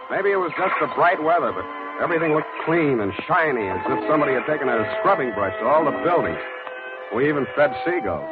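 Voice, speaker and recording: Wisecracking american noir detective, talks fast, old tinny radio sound. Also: male voice, a little high. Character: wisecracking, hard-boiled male private detective, cynical and brisk. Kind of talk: 1940s radio noir narration and dialogue. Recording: muffled vintage radio broadcast with music.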